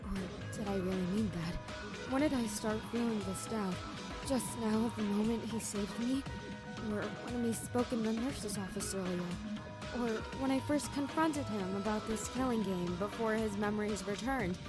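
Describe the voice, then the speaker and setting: A woman's voice: mid-range voice, moderate pace, lightly acted. A woman voicing a conflicted, earnest teenage girl in an emotional fan-dub drama. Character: anguished, conflicted teenage girl soldier in turmoil. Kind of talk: fan dub voice acting, inner monologue. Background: music.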